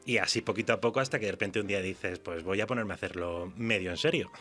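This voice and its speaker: Bright-voiced man from Spain, slightly low. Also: fast, faint music behind. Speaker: guest writer. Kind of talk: casual interview chat.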